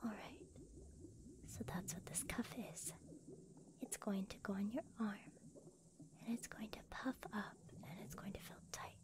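A woman playing a gentle, soothing young healer in a whispered ASMR roleplay. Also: medium pitch, a little slow, pauses often, close to an everyday voice. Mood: soothing, attentive.

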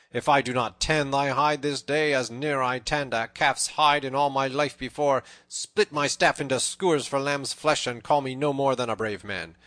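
A man voicing a stout, stubborn tanner in a storybook, loudly defiant in old-fashioned speech. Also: fairly low pitch, no room sound. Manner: loud, defiant, archaic retorts.

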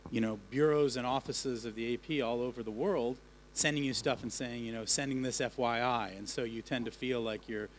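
Male news editor on a panel, earnest, restarts a lot, lecture-hall mic, faint hum. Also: mid-range voice. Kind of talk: panel discussion.

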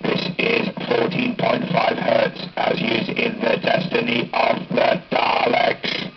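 A man speaking through a Dalek-style ring-modulator robot effect, announcing test settings in a clipped, deliberate way. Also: mid-range voice, completely flat delivery, creaky, slight background noise. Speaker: hobbyist demonstrating a voice changer. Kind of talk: product test demonstration. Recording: dull lo-fi capture from amplifier speaker.

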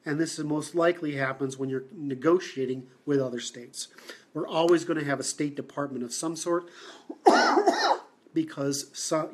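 A man from Seattle hosting a livestream, answering comments in a halting, blunt way with many fillers and restarts. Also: fairly high pitch. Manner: blunt, opinionated. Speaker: livestream host.

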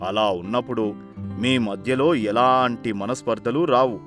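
A firm older village patriarch, acted by a man, speaks with authority and care in a cartoon moral tale. He instructs and scolds at a middling pitch. Light music plays behind him. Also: steady tone, measured pace, fairly bright tone, lightly acted. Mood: firm, advising. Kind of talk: animated moral-story voice acting.

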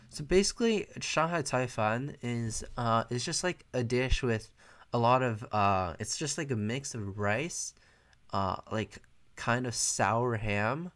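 A young American man, a native Mandarin speaker, casually commenting on his own chess game from his bedroom, chatty and quick to correct himself. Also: mid-range voice, fairly bright tone. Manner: thinks aloud, chatty, self-correcting. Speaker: chess streamer. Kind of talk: casual live commentary while playing. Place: bedroom at home, indoors.

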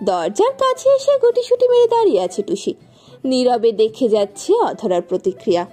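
Lively, mellow woman narrating a story. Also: on the high side, fairly quick, faint music behind. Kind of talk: scripted romantic story narration.

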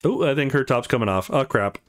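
A man's voice: mid-range voice, brisk. A man giving casual, chatty, laid-back gameplay commentary as the host of a let's play. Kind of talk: casual gameplay commentary, reading dialogue aloud.

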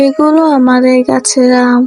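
A woman's voice: high-pitched, flat delivery, normal speed, a little mellow, soft background music. A woman voicing an eager, cheerful little village girl in a Bengali cartoon, speaking in a childlike sing-song way. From Bangladesh.

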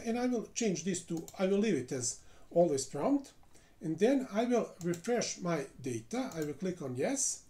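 Lively-pitched man narrating a software walkthrough. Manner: step-by-step narration with frequent "uh" fillers. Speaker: software tutorial presenter. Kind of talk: screen-recorded software tutorial.

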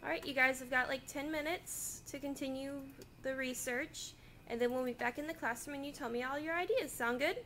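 A young woman playing a cheerful schoolteacher. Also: sits high. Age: young adult. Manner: cheerful, encouraging, quick to praise. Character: upbeat female schoolteacher leading a class. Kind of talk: amateur class skit. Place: small classroom, indoors. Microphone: far camera. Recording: echoey room, far mic, loud hiss.

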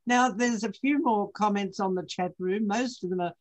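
Woman moderator, mid-pitch, brisk video-call host. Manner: brisk, prompting. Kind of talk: chairing a video Q&A.

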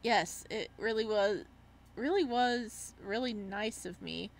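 A woman's voice: mid-range voice, takes it slowly, creaky. A woman voicing a blunt, caring, exasperated university student in a visual novel. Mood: annoyed sympathy.

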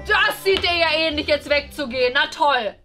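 Young woman playing a shrill-pitched bossy grandma. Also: moderate pace, music in the background, close to an everyday voice. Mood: scolding. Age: young adult. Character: bossy, meddling, indignant old grandma. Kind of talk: improvised YouTube family sketch. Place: indoors, kitchen and lounge.

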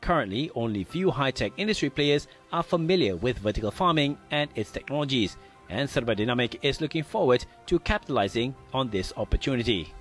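Guy in his 30s reading the news, steady formal delivery, studio sound. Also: medium pitch, a little fast, soft background music. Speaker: news presenter. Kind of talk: scripted news narration.

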